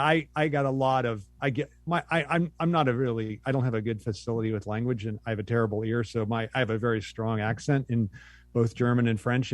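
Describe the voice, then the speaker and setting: A middle-aged man chats as a podcast guest, easygoing and self-deprecating, working his thoughts out as he goes. His pitch sits in the ordinary middle range for a man. The recording is dry, with no room sound. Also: no echo. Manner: relaxed, self-deprecating, thinking aloud. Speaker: guest academic. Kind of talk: casual interview chat.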